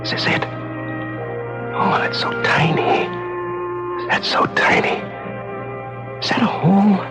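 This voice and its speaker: An actor playing a desperate, unraveling prisoner alone in the dark, haltingly repeating himself in a radio drama monologue. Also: male voice, sits high, lo-fi sound. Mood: excited, straining. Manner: halting, repetitive, talking to himself. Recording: vintage radio drama with music behind.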